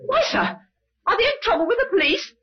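A woman's voice: very high voice, big pitch swings, brisk. A woman playing a gossipy, deferential working-class waitress in an old radio drama, musing and hesitating as she talks. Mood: chatty, musing. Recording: dull old radio recording.

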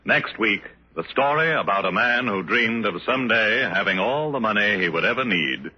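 An American man announcing a 1950s radio show from a script, deliberate and dramatic. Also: deep voice, slightly rough. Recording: muffled 1950s radio broadcast, loud music.

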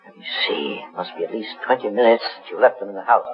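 An actor playing a shrewd, authoritative older private investigator in a deliberate 1940s radio drama style. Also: male voice, fairly low pitch. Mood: calm, probing. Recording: dull, husky vintage 1940s radio recording.

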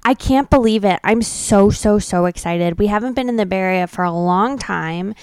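A woman's voice: mid-range voice, normal speed, darkish tone, clear, resonant tone, no echo. A woman co-hosting a book club podcast, chatting in casual banter. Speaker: podcast co-host. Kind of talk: casual banter about books.

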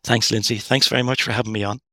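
A middle-aged man from Ireland, speaking as a guest author in a podcast interview, thoughtful and halting with frequent restarts. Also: on the low side, level tone, bright, forceful tone, no room sound, clean studio sound. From Northern Ireland.

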